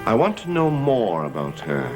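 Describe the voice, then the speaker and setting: An actor playing a secretive, self-assured master of a gothic mansion in an old television soap, speaking in a measured, formal, guarded way. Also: male voice, medium pitch, wildly swooping pitch, low-quality recording. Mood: assured, intent. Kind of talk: 1960s-70s gothic soap opera acting. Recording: old TV soundtrack with loud background music.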